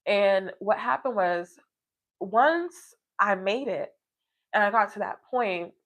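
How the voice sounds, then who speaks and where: A woman's voice: medium pitch, slow, fairly bright tone, lo-fi sound. A woman talking casually and reflectively to livestream viewers, rambling and emphatic, often checking that her listeners follow her. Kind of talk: casual personal livestream chat, reflective and motivational.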